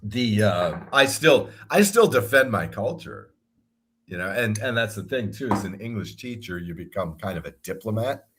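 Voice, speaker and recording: A man's voice: fairly low pitch, animated, normal speed, fairly bright tone, slight background noise. An American man co-hosting a sports podcast, chatting casually and rambling through stories with frequent chuckles. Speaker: podcast co-host.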